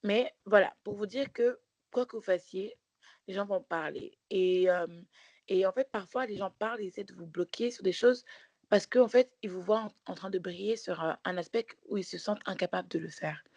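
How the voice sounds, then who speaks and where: A woman's voice: mid-range voice, normal speed, a little mellow, no echo. A woman co-hosting a podcast, telling a story in casual chat with many restarts and fillers. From Belgium. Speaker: podcast co-host.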